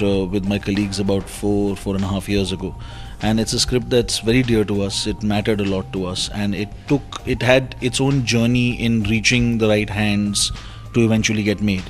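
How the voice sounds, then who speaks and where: Low-voiced reflective director. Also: male voice. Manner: reflective, halting with "uh". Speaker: film director and co-writer. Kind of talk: press interview answers.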